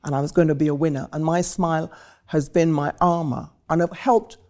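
A woman's voice: sits low, steady tone, fairly quick, warm tone. A woman in her seventies from Trinidad, a guest telling her life story in vivid, rhetorical phrases. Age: 70s. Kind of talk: reflective personal storytelling.

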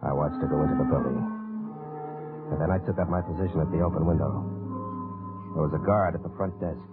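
A man's voice: sits low, close to an everyday voice. An actor playing an American army captain who narrates his mission behind enemy lines in an old radio drama. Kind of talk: 1950s radio drama acting. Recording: muffled old radio recording, music behind.

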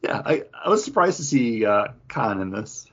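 A man with a low, rough-edged voice chats as a podcast co-host. His intonation moves around a lot while he gives measured opinions with asides. The recording is slightly lo-fi. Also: sing-song. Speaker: co-host of a comic book podcast. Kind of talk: casual review chat, steering discussion.

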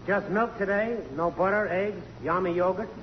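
A man's voice: high voice, moderate pace, close to an everyday voice. Casting: male actor for brash, insistent ex-lover with glib patter, 1950s radio play, reverberant and faintly noisy. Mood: teasing. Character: brash, teasing male photographer and old flame. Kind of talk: 1950s radio drama acting. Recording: dull, reverberant, noisy old radio broadcast.

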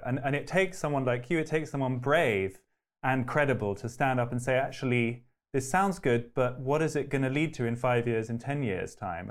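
Guy hosting an interview, asks long earnest questions, keeps rephrasing as he goes, normal middle voice. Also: medium pitch. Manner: earnest, searching, rephrases while asking. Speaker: interview host.

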